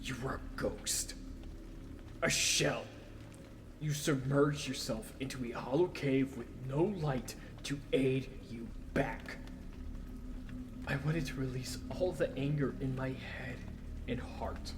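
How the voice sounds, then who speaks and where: Guy playing a hurt bitter son laying into his mom, audio drama, slow and halting, mid pitch, bit of noise. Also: natural voice. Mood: hurt, brooding. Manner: accusing, halting, emphatic. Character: wounded, bitter son confronting his mother. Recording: faint background noise.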